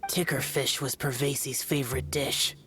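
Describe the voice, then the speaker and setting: A man voicing an aloof, solemn young immortal warrior in a game, speaking tersely with a breathy, brooding manner. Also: medium pitch, fairly even intonation, moderate pace, heavy background noise, lightly acted. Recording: game dialogue over music.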